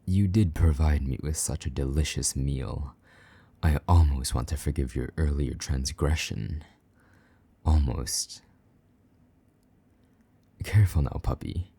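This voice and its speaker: Vampire knight, played by a man: rock-bottom pitch, dark breathy tone, possessive, condescending, commanding, intimate roleplay delivery. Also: heavily acted. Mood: mocking, softening. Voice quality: low, husky, breathy, often half-whispered. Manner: slow, taunting, commanding. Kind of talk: intimate roleplay audio drama.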